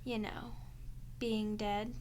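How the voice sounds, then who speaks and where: A woman's voice: medium pitch, little pitch movement, very slow, darkish tone, a little hiss or hum. A woman playing a sardonic, foul-mouthed vampire who grumbles and mocks in an ASMR audio roleplay. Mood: resigned, wry. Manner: sarcastic, grumbling, mocking.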